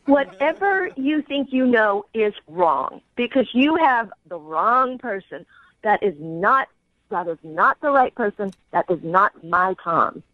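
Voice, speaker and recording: A woman talking on the telephone in an animated, insistent way, heard over a band-limited line. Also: medium pitch, unhurried, dry recording. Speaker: prank call target. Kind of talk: phone conversation. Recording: band-limited phone line on radio show.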